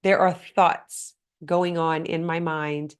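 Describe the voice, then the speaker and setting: Woman, podcast host, motivational solo talk, earnest, slightly hoarse and congested. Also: deep voice, little pitch movement, slow. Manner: earnest, questioning the listener directly.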